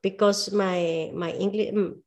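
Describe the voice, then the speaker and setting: A woman with a Spanish accent, a native Spanish speaker, talking as a movement coach in a casual interview. She speaks earnestly and often pauses to search for her words. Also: mid-range voice, fairly even intonation, darkish tone.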